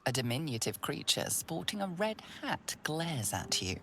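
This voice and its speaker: A woman playing an ominous, knowing storyteller, giving measured, hushed, dramatic narration for a video game. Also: sits low, big pitch swings, heavily acted. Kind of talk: dramatic second-person scripted narration. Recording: game narration over clear music.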